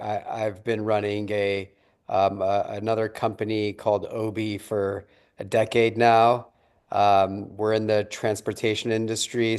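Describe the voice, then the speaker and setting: A man with a fairly low, smooth and resonant voice talks on a video call. He sounds upbeat and stumbles over repeated words while explaining his work. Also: a little mellow. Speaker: company founder and event host. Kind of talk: casual video call chat.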